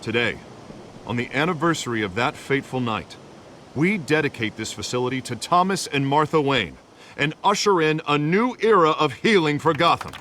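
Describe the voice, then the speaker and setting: A man's voice: on the high side, animated, moderate pace, bright, forceful tone, a little hiss or hum. An American man playing a confident, folksy city politician in a video game, with a polished public-speaking manner. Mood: assured, rousing. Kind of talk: video game dramatic acting.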